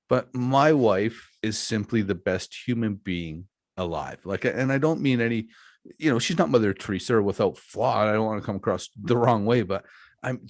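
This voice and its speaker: Joking low-voiced man in his forties. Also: dry recording, studio quality. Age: 40s. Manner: jokey, self-deprecating, rambling. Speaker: podcast host, wrestler. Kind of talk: casual chat.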